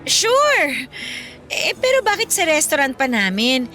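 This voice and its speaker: A woman's voice: sits high, big pitch swings, unhurried, pushed and bright, soft background music, exaggerated voice. A woman playing a playful, defensive teenage schoolgirl in a Filipino radio drama, with a Filipino accent. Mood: flustered.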